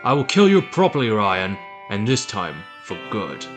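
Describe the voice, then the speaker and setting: A man's voice: lowish voice, wildly swooping pitch, moderate pace, music in the background. A man playing a gloating, vicious animal billionaire villain in an audio drama, pompous and menacing.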